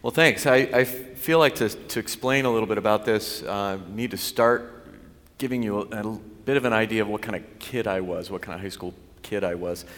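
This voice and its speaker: Middle-aged man, low voice, candid and self-deprecating testimony, long hall reverb. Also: a little fast, a bit bright. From US Pacific Northwest. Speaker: college president. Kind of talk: personal testimony.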